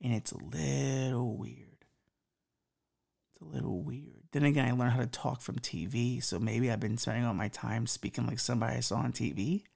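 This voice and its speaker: Gravelly man in his thirties with a slight lisp. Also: mid-range voice, fairly even intonation, normal speed, darkish tone. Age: 30s. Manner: profane, rambling, self-mocking. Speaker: podcast host. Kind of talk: rambling advice monologue.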